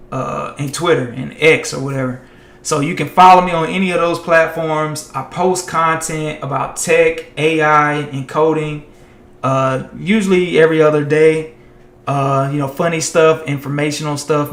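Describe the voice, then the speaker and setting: Man, live-stream host, casual and rambling, voice getting hoarse, fairly high pitch, roomy echo. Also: fairly bright tone. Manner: loose and chatty, heavy on "like" and "man". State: voice tiring and going hoarse. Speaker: live-streaming tech content creator.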